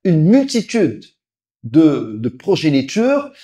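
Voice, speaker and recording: A man giving a religious lecture, explaining step by step, often restarting his sentences and quickly reciting Arabic verses. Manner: frequent halting restarts, lively swings in pitch and volume. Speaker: preacher.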